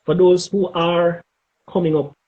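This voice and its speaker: A middle-aged man with a Jamaican accent giving advice in an interview, speaking emphatically and deliberately and often checking in with "okay?". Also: high-pitched, level tone, highs cut off. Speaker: interview guest, former air traffic controller.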